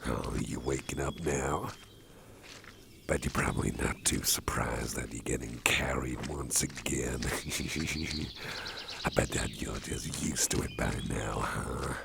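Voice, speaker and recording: A man voices a soft-hearted, protective boss in an ASMR roleplay, whispering close and slow. His voice sits extremely low with a gravelly fry. Outdoor farm ambience and animal sounds come through clearly behind him. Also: background noise, exaggerated voice. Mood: gentle. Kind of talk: ASMR personal attention roleplay.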